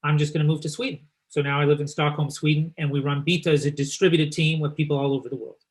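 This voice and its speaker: A chatty, upbeat man with a Canadian accent hosts a live online session, speaking in a voice pitched a little higher than most men's. He sounds like he's talking over a video call. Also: steady tone, quick pace. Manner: chatty and upbeat, teasing his guest. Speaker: host and company founder. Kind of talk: live online talk and presentation.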